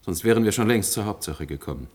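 Formal, low-voiced official. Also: male voice, expressive, fast, a little mellow. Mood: formal, reproachful. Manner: formal, pointed. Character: formal male official reporting to a state prosecutor. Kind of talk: German radio play acting.

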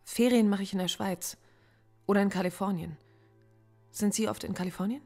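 An actress playing a cool, defiant philosophy professor under interrogation in a naturalistic radio drama, measured and dryly cutting. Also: female voice, medium pitch, a little mellow, faint music behind, lightly acted. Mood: guarded.

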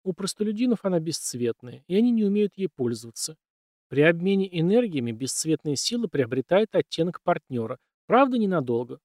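A man's voice: a little high, very animated, fairly quick, a little mellow, dry recording, clean studio sound, voice pushed up. A man voicing a condescending, shrewd young noblewoman's secretary in an audiobook, with a cool matter-of-fact manner. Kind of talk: audiobook character dialogue.

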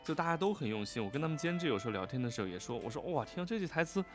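A man's voice: fairly high pitch, sing-song, racing, faint music behind. A man co-hosting a casual livestream, talking effusively and often repeating his words of agreement. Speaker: voice actor co-hosting a livestream about an audio drama. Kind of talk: casual live chat.

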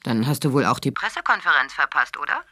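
A woman's voice: extremely low pitch, big pitch swings, fast talker, bright, forceful tone, no echo, studio quality, heavily acted. A woman playing a sassy, teasing, probing radio talk-show host in a German radio drama. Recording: music behind the voice.

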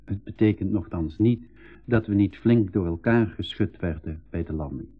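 An actor playing an earnest first-person narrator of an adventure in a 1980s school radio play, with measured, literary phrasing. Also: male voice, sits low, soft background music. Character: earnest male narrator recalling his own expedition adventure. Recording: tinny, band-limited old radio recording.

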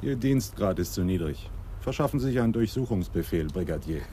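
A man's voice: low voice, expressive, fairly quick, mellow, slight background noise. A man playing a cool, defiant crime suspect with curt answers in a radio drama. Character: defiant, cool male suspect nicknamed tomcat. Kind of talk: 1980s German radio play acting.

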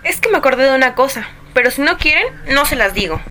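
A woman's voice: high voice, expressive, quick pace, pushed and bright, a little hiss or hum. An actress with a Mexican accent playing a bashful, chatty, peace-making older woman in a radio drama. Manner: timid, coy, placating.

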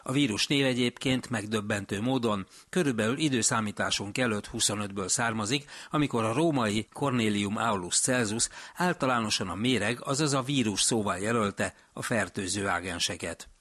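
A male radio host narrates a science feature from a script, his voice low and slightly husky. He reads in a measured, deliberate way. The studio sound is dry and close. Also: a bit bright, no echo.

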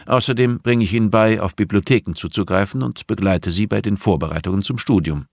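A man voicing a composed, middle-aged blind teacher in an audiobook, patient and measured, with a dryly pointed way of explaining. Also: lowish voice, gravelly, a little mellow, no room sound, clean studio sound, pitched above the performer's own voice. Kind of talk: audiobook character dialogue.

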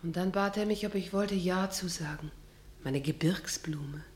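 A woman's voice: on the low side, unhurried, darkish tone, a little hiss or hum, close to an everyday voice. An actress playing a sensual woman lost in memories of a lover, half-whispering a rapt radio-drama monologue. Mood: aroused, tender.

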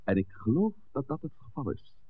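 An actor playing an authoritative village priest in a 1960s radio drama, speaking in a measured, formal, admonishing way. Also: male voice, medium pitch, big pitch swings, slightly rough. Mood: measured, diplomatic. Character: authoritative village priest, composed, stern peacemaker. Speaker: pastor. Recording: dull, noisy 1960s radio recording.

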